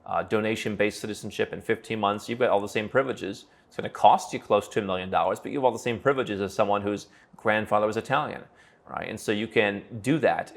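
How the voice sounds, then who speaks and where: A lower-pitched man talking fast and with confidence, straight to camera. He lays out advice as a running thought process, full of what-ifs and quick asides. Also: fairly bright tone. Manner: brisk, confident, thinks aloud with hypotheticals and fillers. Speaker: founder and host of an advisory channel. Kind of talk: direct-to-camera advice talk.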